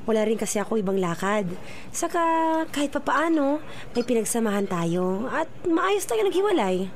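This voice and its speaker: A woman's voice: on the high side, big pitch swings, moderate pace. A Filipino actress playing a friendly, nostalgic former girlfriend in a radio drama. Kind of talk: Philippine radio drama acting. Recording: radio drama with background noise.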